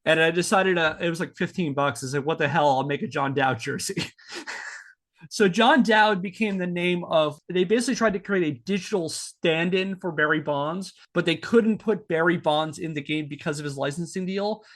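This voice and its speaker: A man's voice: fairly high pitch, normal speed, fairly bright tone. A man hosting a podcast, telling a story in a playful, mock-serious way with casual banter.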